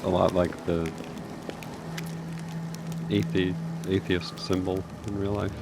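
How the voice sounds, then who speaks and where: A man's voice: low-pitched, flat delivery, takes it slowly, vocal fry, loud music behind the voice. A man co-commentating on a game in casual chat.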